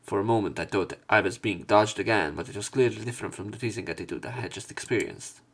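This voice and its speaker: A man with a lowish voice does a let's play of a visual novel. He reads the text aloud theatrically and breaks off for his own commentary. His delivery is expressive. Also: fast, slightly rough. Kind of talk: dramatic read-aloud with commentary.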